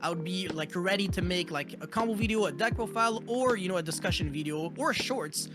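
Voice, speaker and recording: A high-pitched man from Montreal who grew up speaking French, with a Quebec accent running through his English. He talks loosely as an interview guest, stringing thoughts together with "like" and "you know", while clear music plays underneath. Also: a little fast. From Montreal, Canada. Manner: loose, filler-heavy with frequent "like" and "you know". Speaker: guest content creator. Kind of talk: casual interview chat.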